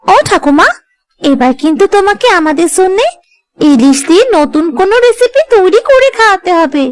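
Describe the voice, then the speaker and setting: A woman's voice: very high voice, expressive, moderate pace. A woman voicing homely, eager female members of a village family in a cartoon. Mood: excited. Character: village wife and excited young daughter. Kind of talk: cartoon voice acting.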